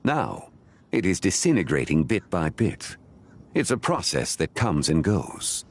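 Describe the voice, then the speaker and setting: An actor playing a calm, ancient, scholarly man who explains things in a measured, formal way, in a video game. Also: low voice, full character voice. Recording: game audio with faint music.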